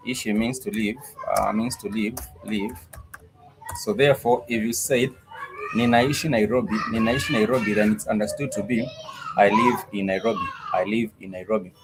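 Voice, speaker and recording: A man's voice: on the low side, fairly even intonation, moderate pace, background noise. A man teaching a live online language class, patiently repeating each phrase several times.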